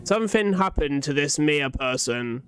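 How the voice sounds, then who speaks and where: A young man with a slightly high voice reacts to what he is watching. He talks casually and informally, thinking aloud and swearing freely as he comments. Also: animated, normal speed. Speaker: reaction video host.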